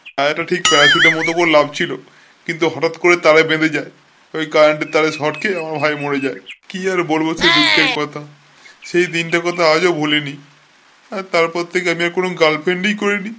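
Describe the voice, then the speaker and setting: A man's voice: fairly high pitch, sharp tone, a little hiss or hum. A man voicing a blunt, streetwise big-brother convict in a comic cartoon. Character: gruff, streetwise older-brother convict, teasing yet sentimental.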